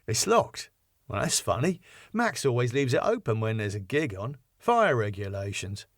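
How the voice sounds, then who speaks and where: A man's voice: medium pitch, sing-song, moderate pace, dry recording, studio quality. A man voicing an anxious, gullible lad in a sitcom, speaking in a worried way.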